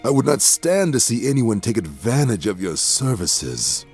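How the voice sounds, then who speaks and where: A man's voice: medium pitch, sing-song, moderate pace, a little mellow, faint music behind. A man playing a courteous, careful fantasy warrior in an audio drama, formal and polite.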